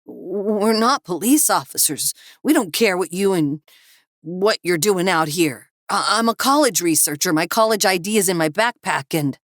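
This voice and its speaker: A woman's voice: on the low side, extremely slow, fairly bright tone, no echo, clean studio sound, voice pushed up, heavily acted. Woman playing a frightened, stammering younger man. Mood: panicked, pleading. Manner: stammering, gasping, pleading. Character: frightened younger man, whining, pleading researcher.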